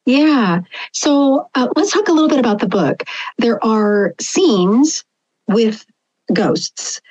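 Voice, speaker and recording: A woman hosts a podcast interview and asks thoughtful questions at an even pace. Her voice is a little higher than most, and it comes through dull, lo-fi remote audio. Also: takes it slowly. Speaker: interview host.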